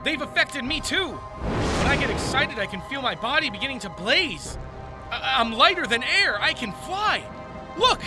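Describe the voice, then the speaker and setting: A man playing a hot-headed, excitable young superhero who exclaims his lines in a comic book audio drama. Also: extremely high pitch, moderate pace. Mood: panicked, thrilled. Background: music.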